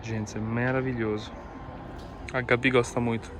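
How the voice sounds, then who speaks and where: A man's voice: mid-range voice, level tone, normal speed, background noise. A young man with an Italian accent speaking Portuguese as a second language, pointing out sights outdoors for a travel vlog. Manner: speaks Portuguese as a learner, sometimes misusing words. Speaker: co-host. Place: outdoors on city streets.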